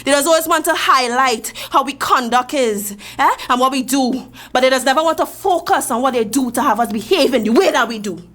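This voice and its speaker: A woman with a Trinidadian accent tells her story with emphatic, preaching force. Her voice sits fairly high. She keeps asking her listeners to agree. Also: animated, fairly quick, few pauses, fairly bright tone. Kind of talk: acted monologue.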